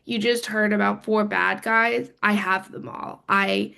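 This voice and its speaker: Woman, hoarse from a cold, gravelly fry, talkative, video call. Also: medium pitch, little pitch movement, unhurried, bright, forceful tone. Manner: apologetic, talkative. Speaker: participant.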